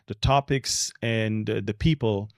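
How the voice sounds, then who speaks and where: A man's voice: lowish voice, steady tone, a little slow, no room sound, studio quality. A man with an Israeli accent hosting a podcast and interviewing a guest in a conversational style.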